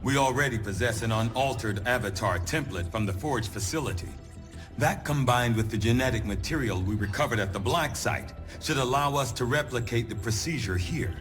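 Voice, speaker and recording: An actor playing a formal, analytical scientist in a video game cutscene, speaking precisely and with measure. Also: male voice, medium pitch, normal speed, a bit bright, close to an everyday voice. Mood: measured, serious. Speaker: chief scientist. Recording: music behind the voice.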